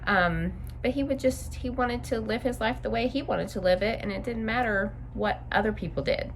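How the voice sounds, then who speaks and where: A woman's voice: a little high, normal speed, a little hiss or hum. A woman reading a picture book aloud to young children, adding simple explanatory asides as a story-time teacher.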